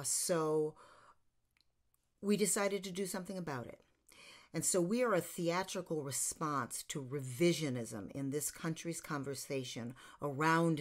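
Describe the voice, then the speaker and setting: Woman, sixties, American accent, low pitch, unhurried pace, emphatic pauses, informal host introduction. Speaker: theater company host introducing an online show. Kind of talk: informal introductory address to an online audience. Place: small home room, indoors.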